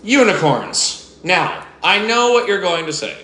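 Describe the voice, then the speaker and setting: A man's voice: high voice, sing-song, a little slow, pushed and bright, echoey room, heavily acted, poor audio. A man reading aloud as a grumpy, jealous male goblin who complains indignantly. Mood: grumbling.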